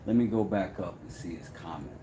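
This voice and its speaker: A man with a very low voice, hosting a casual live stream, trash-talking his critics and rambling about woodworking. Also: a little fast, noisy background. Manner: brash, trash-talking, then rambling and relaxed.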